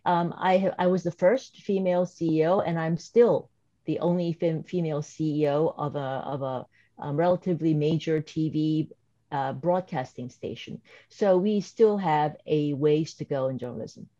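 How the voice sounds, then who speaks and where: A woman in her fifties from South Korea with a slight Korean accent, speaking as a professor on a webinar panel in a measured, thoughtful way. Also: low-pitched, warm tone, no room sound. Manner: measured, thoughtful, some restarts. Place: home or office video call. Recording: dry, slightly dull video-call audio.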